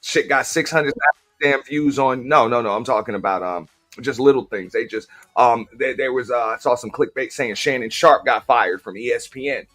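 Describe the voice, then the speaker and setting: A man's voice: mid-range voice, normal speed, a bit bright, soft background music. A man hosting a casual live-stream talk show, speaking bluntly with a lot of profanity. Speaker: host.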